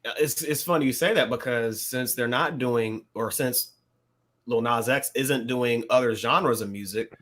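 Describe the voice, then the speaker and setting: Mid-pitched man putting questions to a panel. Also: measured pace, a bit bright. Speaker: podcast panelist. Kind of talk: casual discussion.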